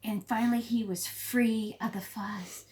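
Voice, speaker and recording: A woman teacher reading a picture book aloud to young children, emphatic, pausing to explain and prompt. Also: fairly high pitch, steady tone, darkish tone. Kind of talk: expressive storybook read-aloud for young children.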